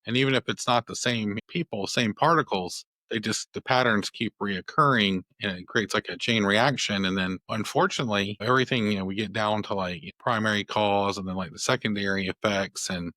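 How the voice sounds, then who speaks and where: A man's voice: lowish voice, brisk, few pauses, sharp tone, no room sound. A male attorney hosting a podcast interview, talking thoughtfully with many restarts. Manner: thoughtful, rambling with many restarts and "you know". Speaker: podcast host, family law attorney. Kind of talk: conversational interview.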